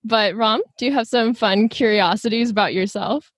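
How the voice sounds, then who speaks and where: A young woman in her twenties from Texas hosting a podcast from a home closet over a video call, upbeat and quick to laugh as she asks her questions. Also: fairly high pitch, no room sound, studio quality. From Houston, Texas. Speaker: podcast host. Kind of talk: scripted-sounding interview questions.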